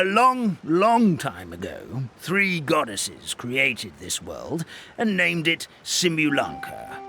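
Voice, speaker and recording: A man voicing a kindly, forgetful elderly forest creature in a video game, telling stories in a halting, rambling, theatrical way. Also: mid-range voice. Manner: halting, rambling, theatrical storytelling with stammered repeats. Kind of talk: video game character storytelling. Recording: studio voice over background music.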